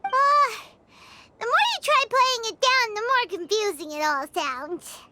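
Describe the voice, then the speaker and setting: Squeaky, excitable little fairy girl. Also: measured pace, noisy background. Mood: excited, curious. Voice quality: squeaky, childlike. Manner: cheeky, indignant, exclamatory. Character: tiny excitable childlike floating fairy girl companion. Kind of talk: animated video game acting. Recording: music behind the voice.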